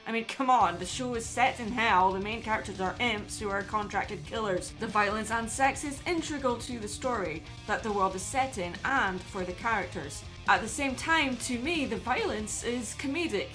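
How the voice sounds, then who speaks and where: A woman delivering scripted opinion commentary as a video essayist, in a measured way with conversational asides. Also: on the high side, low-quality recording. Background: background music.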